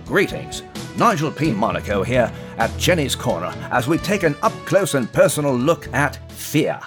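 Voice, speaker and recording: A man playing a courtly gentleman news reporter character in a story show, with a husky voice and flowery, formal speech. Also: mid-range voice, very animated, moderate pace, over music, close to an everyday voice. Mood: courtly, upbeat. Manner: formal, flowery, old-fashioned. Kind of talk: scripted in-character interview.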